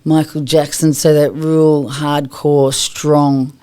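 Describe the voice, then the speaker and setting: Husky-voiced Australian-born woman guest. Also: low-pitched, flat delivery, slow pace, warm tone. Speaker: guest, yoga teacher. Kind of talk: casual podcast interview. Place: studio.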